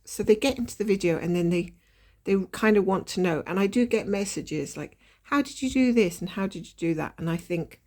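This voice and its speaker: A woman chatting casually as she stitches at her desk, her voice a little nasal and hoarse from a lingering cold, with soft laughs and apologetic asides. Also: mid-range voice, brisk. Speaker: cross-stitch vlogger. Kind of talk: casual stitch-along chat. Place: at a desk indoors.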